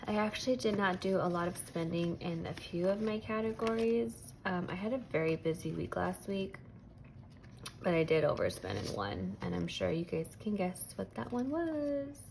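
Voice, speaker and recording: A hoarse woman with a gravelly, raspy voice talks casually as she counts bills aloud in a steady rhythm. Her pitch sits in an ordinary middle range. The recording carries clear background noise. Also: normal speed. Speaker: budgeting vlogger. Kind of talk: casual chat while counting cash. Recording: noisy home recording.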